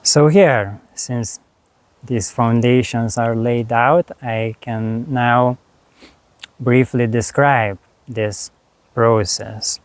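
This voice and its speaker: A man with a Lithuanian accent, a spiritual teacher talking slowly and haltingly to camera about his course, with many pauses and restarts. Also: medium pitch, steady tone, no echo. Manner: unhurried, halting, frequent fillers and restarts. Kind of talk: reflective monologue.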